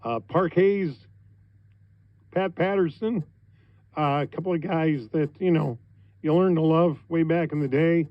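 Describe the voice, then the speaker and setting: A middle-aged man with an American accent, a radio host talking on air in an informal, conversational, halting way. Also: fairly high pitch, dry recording, lo-fi sound. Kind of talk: informal on-air thank-you speech. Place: radio studio.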